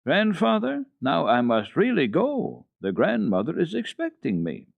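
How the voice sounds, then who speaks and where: A man voicing an eager, excited little girl in a storybook reading for old radio, high and insistent. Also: measured pace, gravelly, dry recording, heavily acted. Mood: pleading. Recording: dull lo-fi old radio recording.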